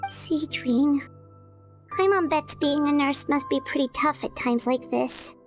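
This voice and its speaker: Tiny game fairy sidekick, extremely high squeaky childlike voice, perky, eager, music behind. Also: female voice, unhurried. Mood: sympathetic, determined. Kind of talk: video game voice acting.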